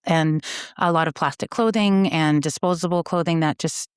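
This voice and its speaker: A woman's voice: low voice, moderate pace, clear, resonant tone, no echo, clean studio sound. A woman from Canada, a company founder, explaining her work earnestly in a conversational interview, with frequent fillers and restarts.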